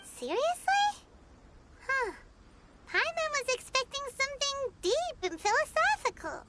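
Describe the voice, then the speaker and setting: A woman voicing a tiny, excitable female fairy companion who speaks of herself in the third person, over game music. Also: very high-pitched, measured pace, noisy background, full character voice. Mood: curious.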